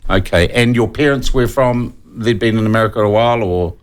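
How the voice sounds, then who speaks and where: Low-pitched New Zealand man, halting interviewer. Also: clean tone. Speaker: radio talk show host. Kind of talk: conversational studio interview. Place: broadcast studio.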